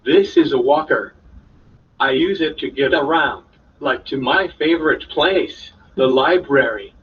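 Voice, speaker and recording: A synthesized male voice from a speech device, reading a children's story in a deliberate machine delivery. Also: fairly high pitch, slightly rough. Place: played from a device in a school room. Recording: dull, distant, noisy speaker playback.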